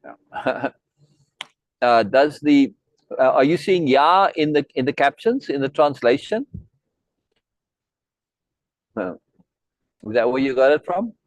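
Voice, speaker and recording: A man's voice: medium pitch, animated, measured pace, pauses often, no room sound, poor audio. Chatty middle-aged South African man. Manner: rambling, friendly, many restarts and asides. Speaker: host. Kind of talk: casual chat on a video meeting.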